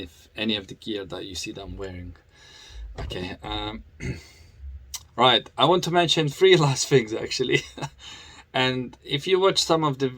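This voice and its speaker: A man's voice: fairly low pitch, fairly bright tone. A man with a foreign accent who grew up in the UK, chatting casually to camera as a motorcycle vlogger, laughing often and restarting his sentences.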